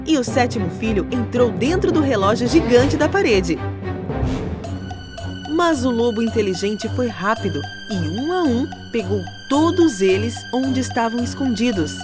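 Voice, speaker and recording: A woman narrating a scripted children's storybook in an expressive, dramatic way. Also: a little high, normal speed. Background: music.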